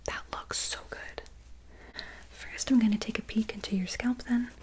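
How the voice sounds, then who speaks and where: A woman speaking in a very soft, breathy whisper, gently and soothingly reassuring as in an ASMR personal-attention roleplay. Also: fairly high pitch, fairly even intonation, moderate pace. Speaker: ASMR creator playing a barber.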